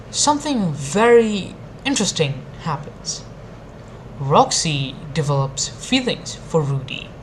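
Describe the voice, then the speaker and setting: A woman's voice: sits low, slight background noise, rough recording. A woman giving an informal, sweary video rant as a reviewer, in a blunt and sarcastic manner. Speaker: anime review video maker. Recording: music behind the voice. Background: background music.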